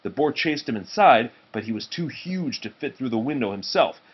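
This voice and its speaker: A man reading a children's storybook aloud in an expressive narrating style. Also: medium pitch, moderate pace, slightly rough, muffled recording. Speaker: storybook reader.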